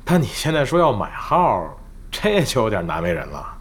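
An actor playing an earnest, plain-spoken young gamer in an audio drama. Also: male voice, medium pitch, huge pitch swings, moderate pace, husky. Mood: puzzled, reluctant. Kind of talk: Chinese audio drama acting.

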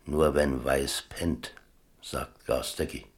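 Old seafaring storyteller, extremely low pitch, slow, wry, rambling, colloquial, radio drama. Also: male voice, steady tone, full character voice. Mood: musing, amused. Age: older. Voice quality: gravelly. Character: old seaman reminiscing about chess, wry, rambling storyteller. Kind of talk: radio play monologue, colloquial first-person storytelling.

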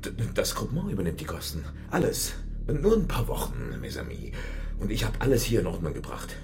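An actor playing an agitated, wheedling fixer in a radio crime drama, urgent and insistent, dropping into confiding asides. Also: male voice, low-pitched, huge pitch swings, brisk, gravelly, darkish tone, faint music behind, full character voice. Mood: placating, brisk. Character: wheedling, agitated male fixer urging his comrades to flee.

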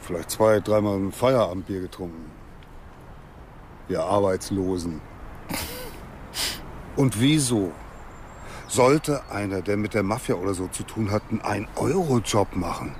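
Actor as jobless working guy in a crime radio play, lowish voice, mulling stuff over, ambient rumble behind. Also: unhurried, long pauses, darkish tone, close to an everyday voice. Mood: sceptical. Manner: colloquial, thinking aloud. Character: plain-spoken jobless workmate of a dead man, mulling him over. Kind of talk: naturalistic German radio crime drama.

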